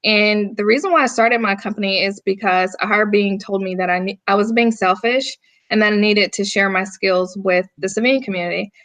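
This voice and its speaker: A woman in her thirties from North Carolina with a Southern accent, answering interview questions in a laid-back, unhurried way as a guest firearms instructor. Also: medium pitch, steady tone, a bit bright. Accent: Southern American.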